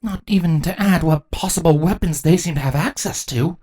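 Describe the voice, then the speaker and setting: A woman's voice: lowish voice, fairly quick, dark tone, breathy edge, voice pushed up. A woman voicing a commanding changeling queen in a fantasy story, stern, grave and authoritative.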